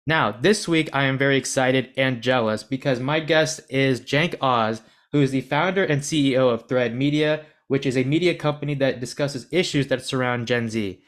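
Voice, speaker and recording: A man's voice: mid-range voice, normal speed, few pauses. Young American male podcast host, earnest and chatty with a mid-range voice. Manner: earnest, rambling, self-deprecating. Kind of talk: podcast interview.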